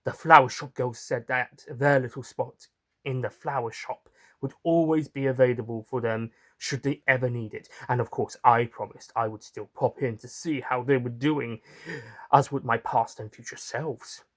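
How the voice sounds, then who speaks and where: A man's voice: mid-range voice, fairly even intonation, normal speed, a bit bright. A man narrating a first-person story theatrically and in character, with chatty asides to the listeners. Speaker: writer and narrator reading in character.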